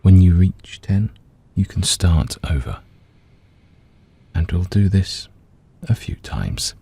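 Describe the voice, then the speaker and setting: Deep, husky man guiding a meditation. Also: takes it slowly. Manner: gentle, calm, soothing, hushed at phrase ends. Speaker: meditation guide. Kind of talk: guided meditation, scripted narration.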